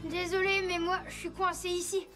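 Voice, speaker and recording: Eager little cartoon motorbike kid: extremely high, lively, childlike, loud music behind. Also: female voice, moderate pace. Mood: earnest. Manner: excitable, childlike. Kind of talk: cartoon dub acting.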